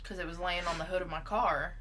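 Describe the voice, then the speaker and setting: A woman's voice: fairly low pitch, fairly even intonation, fast, a bit bright, slight background noise. A woman casually telling her story as an eyewitness in an improvised comedy interview, insistent and matter-of-fact. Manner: insistent, matter-of-fact about absurd claims. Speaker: mock eyewitness being interviewed.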